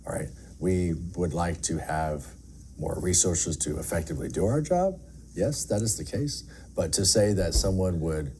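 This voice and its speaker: A man's voice: rumbling low, warm tone. A middle-aged man giving candid, earnest interview answers in an emphatic, plain-spoken way, as an air traffic controller. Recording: faint background noise.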